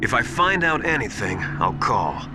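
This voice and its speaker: A man plays a suspicious military adviser in a game. His voice swings up and down as he explains with urgency and emphasis. There is clear noise behind him. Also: medium pitch, very animated, normal speed, close to an everyday voice. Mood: urgent suspicion. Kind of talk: dramatic video game radio dialogue.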